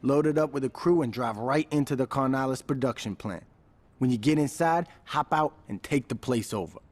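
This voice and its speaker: A man's voice: mid-range voice, normal speed, lightly acted. A man acting an easygoing but businesslike street gang lieutenant in a video game.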